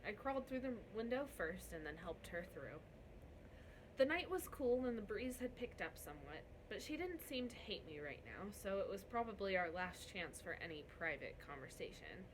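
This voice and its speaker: A woman reading a novel aloud as a first-person narrator, in an expressive storytelling style. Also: mid-range voice, moderate pace, slight background noise, low-quality recording. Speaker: book reader.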